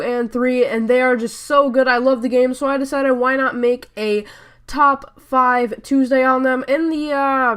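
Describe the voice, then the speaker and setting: A woman's voice: fairly high pitch, a bit bright. A young woman with a stuffy nose and sore throat, rambling through a chatty gaming voice-over full of fillers and self-corrections. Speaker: gaming YouTuber. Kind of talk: casual voice-over commentary.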